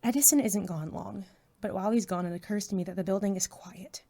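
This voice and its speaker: A woman's voice: mid-range voice, fairly quick, warm tone, a little hiss or hum, natural voice. A woman playing a young superhero sidekick in an audio drama, nervous but eager, speaking dialogue and first-person narration. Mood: tense, hushed.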